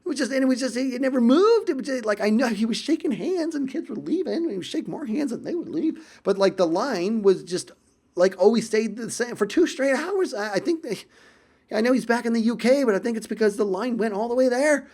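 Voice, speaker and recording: A man casually telling a personal story in vlog style, animated and emphatic, often repeating phrases for effect. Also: very high-pitched, brisk.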